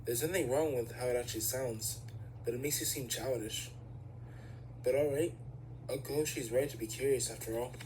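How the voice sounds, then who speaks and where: A man plays a studious, fussy teenage boy from an anime fandub. The voice is medium-pitched, formal and matter-of-fact, explaining things precisely. There is faint noise on the home recording. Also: moderate pace, a little mellow, natural voice, low-quality recording. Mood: corrective, polite. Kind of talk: amateur anime fandub acting.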